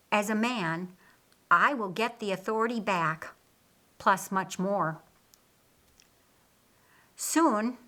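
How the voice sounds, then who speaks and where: Lady reading a patient male teacher in an audiobook, explains things carefully, bright clear authority. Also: mid-range voice. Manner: didactic, measured explaining. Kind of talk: audiobook character dialogue.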